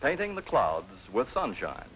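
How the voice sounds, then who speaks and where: A man's voice: mid-range voice, huge pitch swings, measured pace, a loud crowd. A man reading out clues to home viewers as a 1950s TV game show announcer. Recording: noisy muffled 1950s TV audio.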